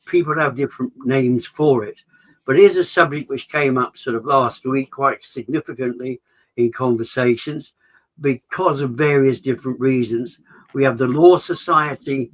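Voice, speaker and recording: A man's voice: medium pitch, fairly even intonation, normal speed, poor audio. A man talking at length in a conversational way, rambling with many restarts and "sort of" fillers, as the chair of an association. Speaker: association chair, regular guest. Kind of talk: conversational explanation.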